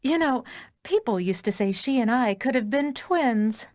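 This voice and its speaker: A woman's voice: a little high, lively intonation, unhurried, a little mellow, dry recording, clean studio sound. An actress playing a smug, vengeful young female villain in a video game. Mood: gloating, menacing. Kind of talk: scripted video game acting.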